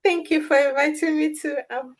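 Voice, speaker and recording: A woman's voice: high voice, steady tone, moderate pace. A young woman with a Nigerian accent, a guest in an interview, earnest and reflective with light amusement. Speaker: guest, language advocate. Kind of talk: interview answers.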